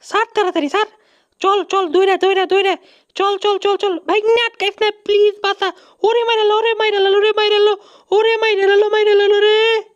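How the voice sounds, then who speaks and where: A performer voicing a bossy, boastful, easily panicked cartoon mouse uncle in colloquial Bangladeshi speech, excitable and repetitive. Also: very high voice, normal speed, no echo, highs cut off. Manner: excitable, repeats words when panicking. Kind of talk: comic cartoon dub.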